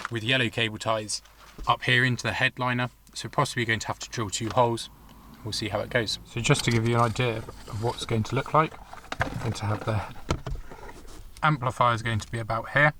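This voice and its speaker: A man's voice: medium pitch, pushed and bright, a little hiss or hum. A man giving a casual, explanatory hands-on product review, thinking aloud in an informal way. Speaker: vlogger reviewing and installing car gear.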